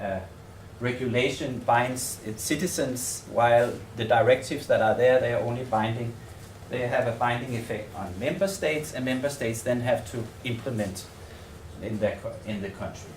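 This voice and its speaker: A man with a Danish accent gives a research presentation in an echoey room, picked up from some distance with faint noise behind him. His pitch is average for a man. He talks tentatively and haltingly, often repeating words as he works out the phrasing. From Denmark. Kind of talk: semi-improvised conference presentation. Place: seminar room in a hotel.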